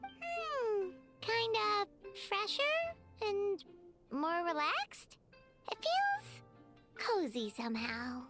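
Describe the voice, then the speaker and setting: Tiny game fairy girl, very high squeaky voice, bouncy intonation, cheerful, curious, perky. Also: wildly swooping pitch, drawn-out words, over music, heavily acted. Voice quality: squeaky, childlike. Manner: perky, refers to herself in third person. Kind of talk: anime game voice acting.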